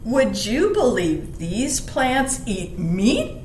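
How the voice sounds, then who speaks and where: A woman reading aloud and explaining to children in a gentle, teacherly manner, stressing key words. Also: big pitch swings, very slow. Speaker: library story reader. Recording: roomy echo, faint rumbling background noise.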